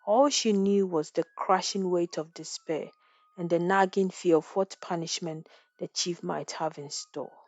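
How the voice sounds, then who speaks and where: A woman's voice: lowish voice, measured pace, darkish tone, no room sound. Woman storyteller, dry studio sound. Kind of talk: scripted dramatic folktale narration.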